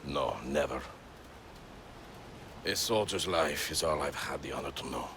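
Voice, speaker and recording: A loyal Spartan soldier in a game cutscene. His voice is extremely deep, with a stoic, respectful bearing. Rumbling background noise sits clearly behind him. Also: measured pace. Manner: deferential, stoic. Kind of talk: dramatic game cutscene acting.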